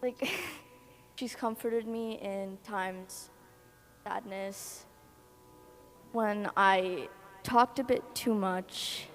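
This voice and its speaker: A teenage girl giving a shy, giggly, halting speech about her older sister. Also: mid-range voice, fairly even intonation, soft background music. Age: teens. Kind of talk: nervous tribute speech. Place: event hall. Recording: long hall reverb.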